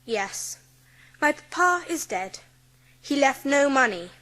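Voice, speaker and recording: A woman playing a proud, bereaved young girl in an audiobook drama, composed, formal and quietly defiant. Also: sits high, big pitch swings, slow, heavily acted. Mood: subdued, grave. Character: proud, self-possessed, bereaved seven-year-old girl.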